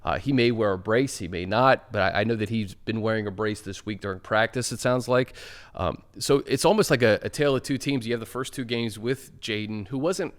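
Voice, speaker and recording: A man's voice: fairly low pitch, fairly quick. A man hosting a sports show, chatting and interviewing casually.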